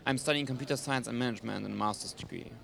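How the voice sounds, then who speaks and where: Gravelly young man. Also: mid-range voice, rapid-fire, a bit bright, a little hiss or hum. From Germany. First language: German. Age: young adult. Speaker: guest.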